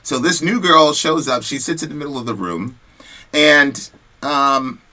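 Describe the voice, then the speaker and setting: Bright-voiced man talking casually. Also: fairly high pitch, a little slow. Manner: animated, slangy and conversational. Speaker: host of a news commentary show. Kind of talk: casual commentary and personal storytelling.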